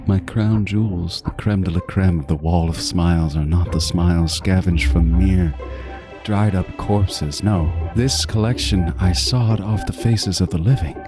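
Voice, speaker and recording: A man's voice: low-pitched, unhurried, music in the background. An actor playing a meticulous, self-styled artist serial killer in a horror audio drama, savoring his words theatrically with swooping emphasis. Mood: gloating, reverent. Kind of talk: first-person horror audio drama monologue.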